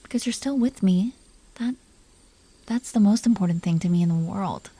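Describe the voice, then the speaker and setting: A woman's voice: medium pitch, moderate pace, dark tone, no room sound. A woman playing a protective, doting dragon queen who teases her lover tenderly in an intimate roleplay. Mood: tender, reassuring. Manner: tender, playfully teasing, breathy whispers at times. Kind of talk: intimate roleplay audio, soft acting.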